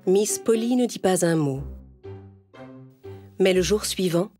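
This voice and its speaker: A woman's voice: on the low side, takes it slowly, warm tone, soft background music. A woman storyteller reading a scripted children's tale as narration.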